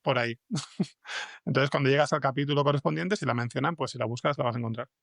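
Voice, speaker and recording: A man's voice: medium pitch, fast, creaky, a bit bright, dry recording, clean studio sound. A man with an accent from Spain, chatting informally as an interview guest and thinking aloud as he explains things. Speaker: guest podcast scriptwriter. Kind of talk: casual conversational interview.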